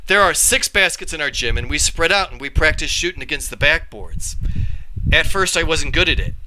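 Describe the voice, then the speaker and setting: A man reading a children's story aloud in an expressive, animated storytelling style. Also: a little high, moderate pace, noisy background. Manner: animated, acts out dialogue. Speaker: reader, storyteller.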